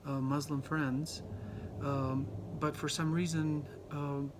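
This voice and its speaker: A young man from Albania giving a class presentation, halting often with ums and restarts. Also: on the high side, flat delivery, noisy background. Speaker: student presenter.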